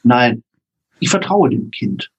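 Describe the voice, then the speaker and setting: A man's voice: mid-range voice, big pitch swings, slow pace, breathy edge, highs cut off. A man speaking as an interview guest, answering conversationally in an anecdotal way and often tagging on little questions. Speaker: interview guest, audio drama author.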